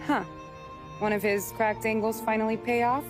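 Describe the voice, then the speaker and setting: An actress playing a shrewd, suspicious working-class wife in a video game, dry and sarcastic. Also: female voice, a little high, flat delivery, normal speed, music in the background, natural voice. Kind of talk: cinematic video game acting.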